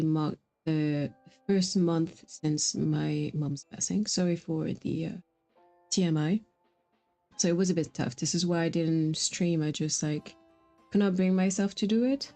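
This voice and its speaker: A woman's voice: fairly low pitch, mellow. A woman streaming video games, chatting casually with viewers and reacting to the game. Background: faint game music.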